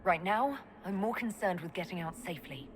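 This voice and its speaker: An actress playing a sharp, pragmatic elf mage leader in a video game, dry and direct. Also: female voice, on the low side, animated, fairly quick, a little hiss or hum, lightly acted.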